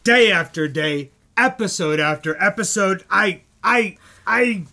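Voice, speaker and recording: An excitable radio producer from a 1940s comedy, hammy and emphatic. His voice is high and bright, with drawn-out pacing and some gravel. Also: exaggerated voice. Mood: gushing. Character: excitable fast-talking 1940s radio producer, theatrical flatterer. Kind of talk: old-time radio comedy acting. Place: live stage performance in a bookstore.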